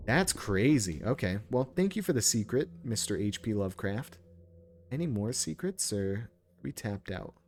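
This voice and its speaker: A man's voice: lowish voice, animated, normal speed, a little mellow. A man streaming a game, commenting casually and reading text aloud in an informal, sometimes stumbling way.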